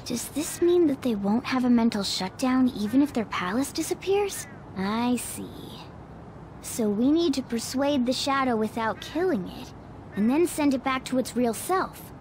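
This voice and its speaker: High, boyish cat creature. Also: female voice, expressive, moderate pace, a little mellow, a little hiss or hum. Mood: thoughtful, confident. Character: small clever talking cat creature, boyish and self-assured.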